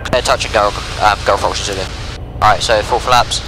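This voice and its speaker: A man's voice: fairly low pitch, fairly even intonation, normal speed, sharp tone, poor audio. A young man training as a pilot, making brief calls and readbacks into a headset in a small airplane. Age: young adult. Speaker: student pilot. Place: small airplane cockpit in flight. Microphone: aviation headset mic. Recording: faint aircraft engine noise.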